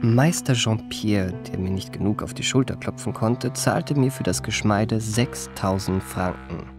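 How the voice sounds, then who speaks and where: A man's voice: on the low side, measured pace. An actor playing a suave young liftboy and charming con man, narrating his memoirs in a polished, ornate, self-satisfied way in a radio play. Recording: music behind the voice.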